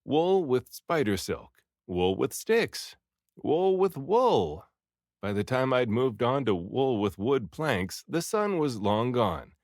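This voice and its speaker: A man reading a story aloud in an expressive, theatrical way, acting out the dialogue. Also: medium pitch, slow, no room sound, clean studio sound. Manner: animated, theatrical delivery with acted dialogue. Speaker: audiobook reader.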